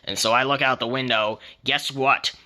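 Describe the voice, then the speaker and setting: A man's voice: medium pitch, fairly even intonation, moderate pace, pushed and bright. A man hosting his own podcast, rambling casually and joking in an in-character persona. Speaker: podcast host.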